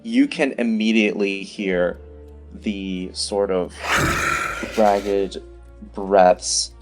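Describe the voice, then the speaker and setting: A man's voice: fairly low pitch, steady tone, very slow. A man running a tabletop fantasy game as game master, describing scenes in a conversational way. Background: music.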